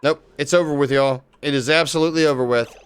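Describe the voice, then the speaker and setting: Man, game streamer, casual and excitable, swears freely, slightly high voice. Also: quick pace, clean tone. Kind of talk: casual live-stream commentary.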